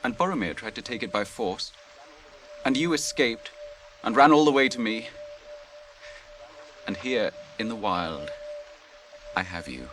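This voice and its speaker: An actor in a radio drama playing a noble, grave captain, proud yet merciful, with formal, archaic, theatrical delivery. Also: male voice, on the low side, lively intonation, unhurried, long pauses, fairly bright tone, faint music behind, poor audio. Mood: menacing, triumphant. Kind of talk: 1980s radio drama acting. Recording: radio drama with clear background noise.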